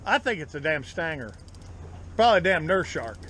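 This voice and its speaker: Middle-aged man, Southern US accent, highish voice, folksy fishing-host banter, recorded outdoors on a boat with some engine noise. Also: big pitch swings, brisk, fairly bright tone. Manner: folksy, salty-tongued joking. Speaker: charter fishing captain and host. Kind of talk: casual chat while fishing. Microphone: action camera on the boat.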